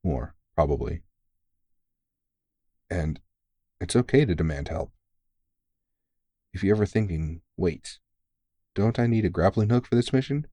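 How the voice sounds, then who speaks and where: A low-pitched man performing as a battle-worn vampire hunter in an ASMR audio roleplay. He is tired but kind, reassuring a rookie with teasing, informal, sweary talk. The sound is dry, with very little room to it. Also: lively intonation, unhurried, long pauses, dark tone, dry recording. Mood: encouraging, fatherly. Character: tired, kindly veteran male vampire hunter mentoring a rookie.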